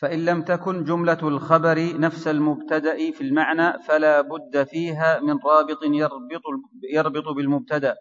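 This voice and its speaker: A man reads an Arabic grammar text aloud in a steady, measured recitation for his teacher. His voice sits a little high. He sounds set back from the mic, with a dull, lo-fi tone and a long hall echo. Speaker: student reading the text aloud.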